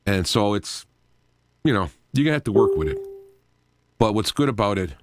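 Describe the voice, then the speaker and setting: A man's voice: on the low side, sing-song, normal speed, very noisy. A man hosting a live radio show in an informal, rambling monologue, explaining things as he goes with frequent asides.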